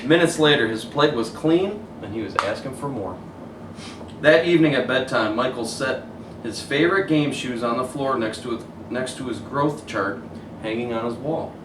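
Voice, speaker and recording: A man reading a children's story aloud as a teacher, in an animated read-aloud style, stopping to point out pictures. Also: mid-range voice, normal speed. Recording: roomy echo, distant mic, faint noise.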